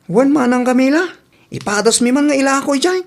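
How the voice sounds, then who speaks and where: A woman's voice: sits high, brisk. A woman playing a mild, agreeable married male farmer in an Ilocano radio drama, with an Ilocano Filipino accent.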